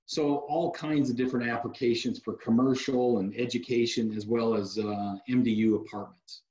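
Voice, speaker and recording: A man's voice: medium pitch, moderate pace. Brief: man in his forties, industry guest, easygoing product explainer, roomy echo, dull webcam sound. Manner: relaxed, practical, sales-savvy explainer, many uh fillers. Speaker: security technology company guest. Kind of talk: video call interview, explaining products. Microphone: video call webcam mic. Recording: echoey room over a dull video call.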